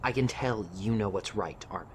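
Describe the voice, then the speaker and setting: A man playing an earnest, protective teenage boy in an audio drama, speaking with gentle reassurance. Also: lowish voice, a little fast, a little hiss or hum, close to an everyday voice. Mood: reassuring.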